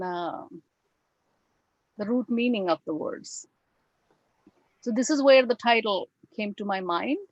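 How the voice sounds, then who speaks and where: A woman with a Bengali accent, whose first language is Bengali, gives an academic talk over a video call, reflective and full of pauses and fillers. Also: fairly high pitch, no room sound, highs cut off. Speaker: guest lecturer.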